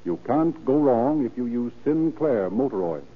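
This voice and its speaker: A man's voice: mid-range voice, lively intonation, normal speed. A man narrating and announcing in a formal, theatrical, emphatic 1930s radio style. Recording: tinny, hissy 1930s radio recording.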